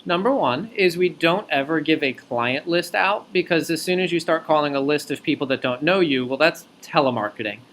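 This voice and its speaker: A man with a slightly high voice speaks as a job recruiter on a call, over faint background noise. He explains in an organized, articulate way, laying out his answers point by point. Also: moderate pace, few pauses, fairly bright tone. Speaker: company recruiter interviewing an applicant by phone. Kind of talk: explanatory job interview talk.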